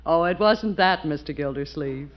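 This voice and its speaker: A woman's voice: low voice, moderate pace, very noisy, close to an everyday voice. An actress playing a prim, genteel middle-aged woman in a 1940s radio comedy, polite and dryly witty. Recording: dull 1940s radio broadcast.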